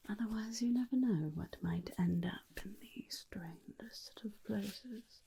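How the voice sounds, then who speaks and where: A woman voicing a tender, protective, faintly menacing vampire companion in a soft, soothing ASMR roleplay whisper. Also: mid-range voice, creaky. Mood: gently scolding. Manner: slow, soothing, coaxing with endearments. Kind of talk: ASMR roleplay, personal attention.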